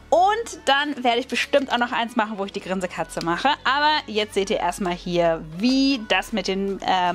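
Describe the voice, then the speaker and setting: A woman with a German accent chatting casually while unpacking and crafting at a table, thinking aloud with hums and small laughs. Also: a little high. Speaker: crafting video host. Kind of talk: casual unboxing and crafting commentary. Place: indoors at a wooden table. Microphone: overhead camera, hands only.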